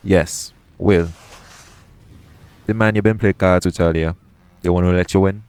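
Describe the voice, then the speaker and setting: A man playing a roguish, caring pirate captain in an intimate audio roleplay, speaking in a soothing, gently teasing manner. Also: low voice, fairly even intonation, a little slow, clean tone. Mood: fond, easygoing.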